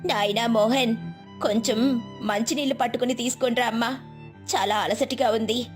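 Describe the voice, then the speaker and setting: A woman's voice: fairly high pitch, fairly even intonation, normal speed, faint music behind, natural voice. A woman voicing a poor, hardworking village mother, tired and loving, in a moral storybook tale. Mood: weary.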